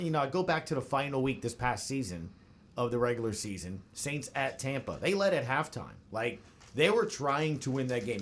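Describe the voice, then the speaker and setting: Man, podcast moderator, medium pitch, casual sports questioning, faint noise behind the voice. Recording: clear background noise.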